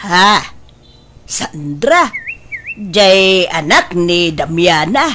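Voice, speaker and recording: Woman playing older Ilocano mother, lively pitch, blunt and emphatic, radio drama, noisy recording. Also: extremely slow, full character voice. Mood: surprised, blunt. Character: blunt, loud older mother of a grown son.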